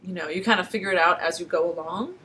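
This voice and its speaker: A woman's voice: fairly low pitch, fast talker, sharp tone, low-quality recording. A woman chatting casually and candidly, in a matter-of-fact way. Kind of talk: casual frank conversation.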